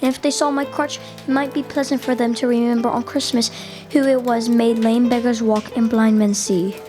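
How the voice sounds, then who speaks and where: Lady doing sweet earnest little kid, high voice, radio play, music under it. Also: level tone, normal speed, lightly acted. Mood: earnest, innocent.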